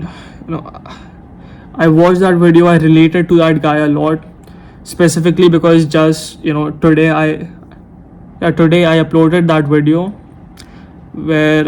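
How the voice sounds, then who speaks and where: A man's voice: fairly high pitch, a little mellow, a little hiss or hum. A nineteen-year-old man talking to the camera late at night without a script, rambling and hesitant, often correcting himself. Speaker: YouTuber. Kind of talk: unscripted talk to camera. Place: room at night. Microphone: camera mic.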